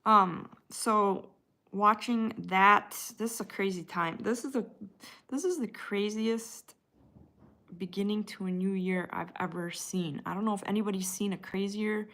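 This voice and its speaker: A woman's voice: medium pitch, unhurried, bright, forceful tone. A woman with an American Midwestern accent, hosting a casual livestream, chatting and replying to viewers by name as she moves from one comment to the next. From United States. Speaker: livestream host reading and answering viewer chat. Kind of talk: casual live chat with viewers.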